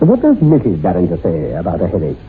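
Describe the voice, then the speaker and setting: Animated, urbane, grave psychiatrist. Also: male voice, low-pitched. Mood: urgent insistence. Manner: formal, measured, authoritative. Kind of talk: radio drama acting. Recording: tinny, hissy old radio drama recording.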